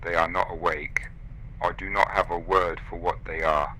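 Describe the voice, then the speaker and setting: A man voicing a resigned, dying station officer recording grave, measured log entries for a sci-fi audio drama. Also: sits low, level tone, pushed and bright, a little hiss or hum, poor audio. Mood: exhausted resignation. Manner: grave, measured, clipped sentences.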